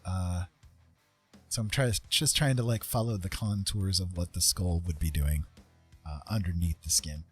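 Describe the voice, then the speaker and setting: Husky guy on an art stream, lowish voice, super casual and kinda self-deprecating, bit of guitar behind him. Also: fairly even intonation, normal speed. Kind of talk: casual live-stream painting tutorial. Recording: soft guitar music behind voice. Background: guitar music.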